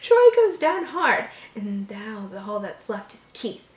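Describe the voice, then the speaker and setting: A woman's voice: mid-range voice, sing-song, unhurried. Echoey woman reading horror with exasperated asides. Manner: stumbles over words, breaks off into mocking, exasperated asides. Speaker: host reading a story aloud. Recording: echoey room.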